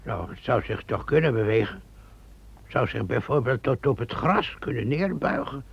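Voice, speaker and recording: An actor playing a fanciful, easily frightened companion in a radio play, with a raspy, gravelly voice. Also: male voice, mid-range voice, animated, normal speed, highs cut off, heavily acted. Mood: musing. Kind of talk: radio drama acting.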